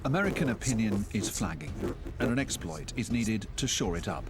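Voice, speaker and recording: A man narrating a historical documentary in a measured, solemn scripted delivery, recorded as a studio voice-over. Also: on the low side, big pitch swings, very noisy. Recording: narration under loud music score.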